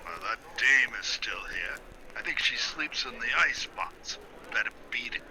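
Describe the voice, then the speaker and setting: A man's voice: mid-range voice, animated, normal speed, creaky, crowd noise, poor audio. An actor playing a streetwise, wisecracking New York barman in a 1940s-style radio drama, brash and slangy. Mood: wry.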